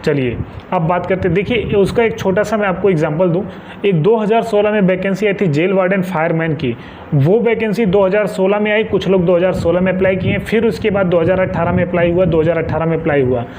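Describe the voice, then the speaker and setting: A man's voice: high voice, fairly quick, darkish tone. A man from northern India giving informal advice to viewers as an exam guidance presenter, insistently repeating key points for emphasis. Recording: muffled lo-fi with faint background noise.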